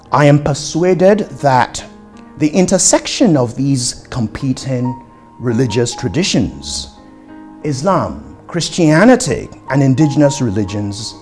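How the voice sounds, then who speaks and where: Man, animated formal scholarly reading. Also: medium pitch. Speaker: author reading from a book.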